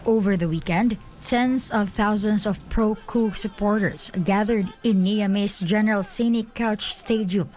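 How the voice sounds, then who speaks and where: A woman's voice: mid-range voice, measured pace, few pauses, darkish tone, faint music behind. A woman reading a scripted news report in the role of reporter.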